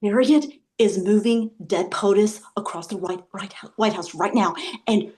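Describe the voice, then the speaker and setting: A woman playing a blunt, provoking, deadpan young mother in a stage comedy read. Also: mid-range voice, normal speed, lightly acted. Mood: incredulous.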